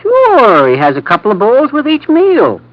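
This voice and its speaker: A man's voice: very high voice, wildly swooping pitch, normal speed, no echo, exaggerated voice. An actor playing a prim, polite, deceptively mild kitchen man who is a villain in a 1950s radio drama. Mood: innocent. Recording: muffled old radio recording.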